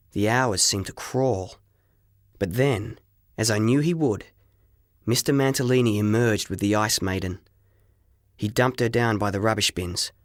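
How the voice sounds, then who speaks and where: A man narrating a scripted first-person story as an audiobook, with dramatic, expressive delivery. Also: mid-range voice, no echo, clean studio sound.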